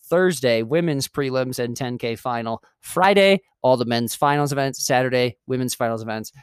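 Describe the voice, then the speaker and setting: Smooth clear-voiced dude rambling on his podcast, keeps going off on tangents, middle pitch. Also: moderate pace, dry recording, clean studio sound. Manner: chatty, digressive, self-correcting. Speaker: podcast host. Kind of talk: rambling solo podcast talk. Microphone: studio mic.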